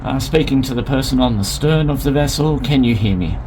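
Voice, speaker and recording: A man's voice: medium pitch, brisk, heavy background noise. A man giving a plain, methodical step-by-step equipment demonstration as an instructor, recorded inside a vessel. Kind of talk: hands-on demonstration, explanatory.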